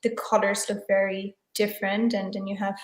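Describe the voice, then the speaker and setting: A woman's voice: medium pitch, little pitch movement, moderate pace, echoey room. A woman whose first language is German, a guest chatting casually on a video call, hesitant with many fillers and restarts. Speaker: guest, tarot deck creator and photographer. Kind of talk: casual interview chat.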